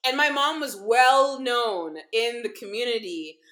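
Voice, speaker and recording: A woman's voice: a little high, lively intonation, a little slow, bright, forceful tone. A woman in her thirties from Guyana who grew up partly in America, chatting casually and emphatically as co-host, repeating phrases for stress. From Guyana, grew up partly in the United States. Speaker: co-host of a cooking show. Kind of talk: casual warm conversation with her mother. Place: living room, seated on a sofa. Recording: roomy living-room echo.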